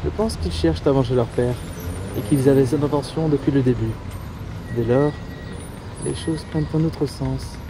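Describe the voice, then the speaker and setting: An actor playing a composed, wry investigator in command, in a French audio drama, speaking in a measured, dry, authoritative way. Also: male voice, mid-range voice, natural voice. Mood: composed, serious. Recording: noisy urban ambience.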